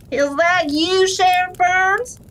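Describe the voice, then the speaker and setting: A woman as high-voiced wounded frontier rancher. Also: fairly bright tone, full character voice. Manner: strained, drawn-out, folksy. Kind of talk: western radio drama acting.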